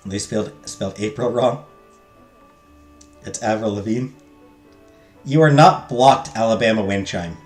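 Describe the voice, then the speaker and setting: A man streaming a visual novel, loudly reading the game text aloud and chatting with his viewers. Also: on the low side, unhurried, pauses often. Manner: loud, talks loudly. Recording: roomy echo, faint game music.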